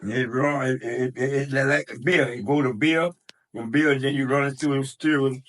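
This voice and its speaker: A man's voice: mid-range voice, steady tone, moderate pace, fairly bright tone. A man, telling stories to his grandson in a rambling way, repeating words and restarting his sentences. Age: 90s. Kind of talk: casual storytelling.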